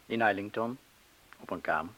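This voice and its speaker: An actor with a Flemish accent playing an anxious, protective man in a radio drama, speaking haltingly and urgently. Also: lowish voice, a bit bright. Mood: subdued. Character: anxious, protective man urging his lover to leave. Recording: hissy old radio play recording.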